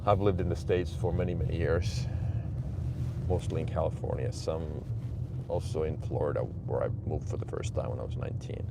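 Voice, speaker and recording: A man's voice: extremely low pitch, steady tone, brisk, vocal fry. A Finnish man in his forties, chatting candidly and giving motivational talk on a live stream from his car. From Finland. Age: 40s. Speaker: livestream host. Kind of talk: casual live-stream chat, reading chat aloud. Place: car, driving to his office. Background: vehicle noise.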